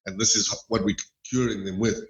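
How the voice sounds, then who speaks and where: A middle-aged man from Malawi, a podcast guest talking in a thoughtful, measured way with hedging asides. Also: on the low side, fairly even intonation, vocal fry, dull audio. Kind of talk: casual interview chat. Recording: roomy echo.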